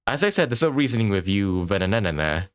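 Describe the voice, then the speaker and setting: A man's voice: on the low side, big pitch swings, fairly quick, smooth. A man playing a pompous, argumentative male scholar, reading game text aloud dramatically. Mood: indignant.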